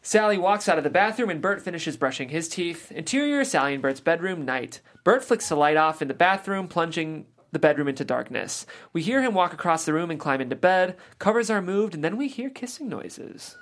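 A woman reading stage directions in a measured, matter-of-fact way at a table read. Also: on the low side, animated. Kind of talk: scripted narration of stage directions.

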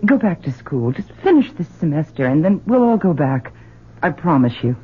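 An actress playing an anxious, coaxing middle-aged mother with a husky voice in an old-time radio drama. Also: sits low, sing-song, moderate pace, full character voice. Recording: muffled old radio recording.

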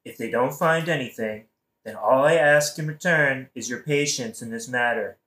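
A man's voice: mid-range voice, normal speed, sharp tone, lightly acted. A man playing a scheming king who placates with false sympathy, speaking casually and confidingly in a satirical audio play. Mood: placating. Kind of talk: modern-language satirical audio play acting. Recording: roomy echo.

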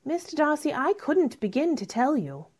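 A woman playing a proud, witty young gentlewoman in a radio drama, cool and formal. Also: sits high, very animated, moderate pace. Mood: cool disdain. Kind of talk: old-fashioned radio drama acting.

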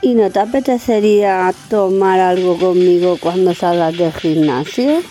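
Woman playing a mellow, flirtatious rich heiress. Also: on the low side, unhurried, soft background music, lightly acted. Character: spoiled, flirtatious rich heiress, bored and forward. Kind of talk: amateur radio soap acting.

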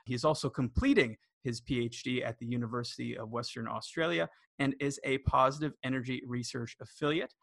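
A man's voice: mid-range voice, brisk, vocal fry, few pauses, fairly bright tone, no echo. A man hosting a podcast, reading out a formal introduction of his guest. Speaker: podcast host.

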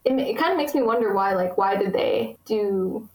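A woman's voice: mid-range voice, lively intonation, moderate pace, darkish tone, echoey room, rough recording. A young woman from the United States, talking casually and eagerly, with many fillers. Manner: chatty, many fillers, enthusiastic. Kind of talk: casual conversation.

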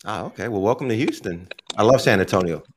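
A man's voice: fairly low pitch, lively intonation, fast, a little hiss or hum. A man from Chicago co-hosting a podcast, asking questions with frequent stumbles and restarts. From Chicago, USA. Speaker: podcast co-host. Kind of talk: casual interview questions.

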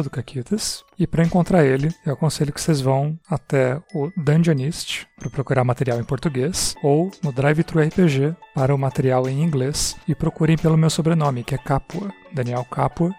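A man's voice: mid-range voice, a little mellow. A man with a Brazilian accent giving a prepared, explanatory monologue as a podcast guest. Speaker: podcast guest, game creator.

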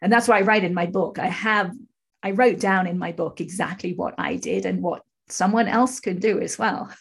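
A woman in her fifties with a husky, creaky voice, earnestly telling her personal story as an interview guest. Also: on the low side, normal speed. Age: 50s. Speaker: podcast guest, spiritual teacher.

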